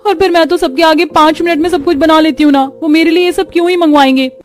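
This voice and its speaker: A woman voicing a fretful young daughter-in-law who pleads and worries in an animated story. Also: very high-pitched, fast talker, faint music behind, pitched above the performer's own voice. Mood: troubled, complaining. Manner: fretful, pleading, talks to herself. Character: anxious young daughter-in-law hiding a cooking secret. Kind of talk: Hindi animated moral story dialogue.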